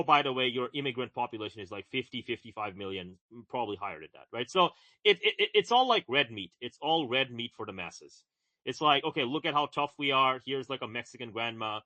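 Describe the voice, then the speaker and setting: Co-host, young man from Bangladesh, bright forceful tone, dry close sound, animated and repetitive. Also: medium pitch, brisk, no room sound. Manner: emphatic, repeats words, many "right?" and "like". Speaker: podcast co-host. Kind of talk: casual political chat.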